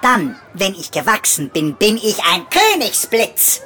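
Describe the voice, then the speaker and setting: Storybook figure: a bratty, boastful little lightning prince, higher and drawn out, put on by a male narrator. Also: huge pitch swings, unhurried, sharp tone, a little hiss or hum, exaggerated voice. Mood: grumpy. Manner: boastful, grumbling and demanding.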